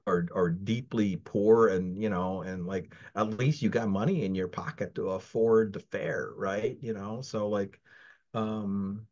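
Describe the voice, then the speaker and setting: This is a man in his sixties who grew up in the Philippines but talks with an American accent. He rambles through his stories with many fillers and restarts, in a somewhat low voice. The audio has the muffled, lo-fi sound of a video call. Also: unhurried, darkish tone. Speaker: interview guest. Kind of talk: casual interview. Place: home, virtual background.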